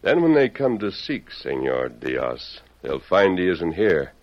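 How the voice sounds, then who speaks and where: Commanding masked hero, deep bass voice, firm deliberate delivery, brave, old radio western, dull vintage sound. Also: male voice, sing-song. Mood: firm, urgent.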